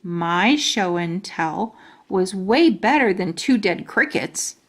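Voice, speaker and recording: Slow-paced woman reading a children's story. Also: mid-range voice, lively intonation, fairly bright tone. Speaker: storytime reader. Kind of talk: children's storybook read-aloud.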